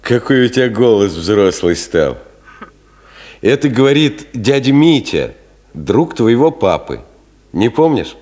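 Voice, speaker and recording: Casting: kindly middle-aged teacher and veteran, radio drama; mid-pitched, lively intonation, gently teasing, wistful. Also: male voice, a little slow. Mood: kindly, nostalgic. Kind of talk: 1970s Soviet radio play acting.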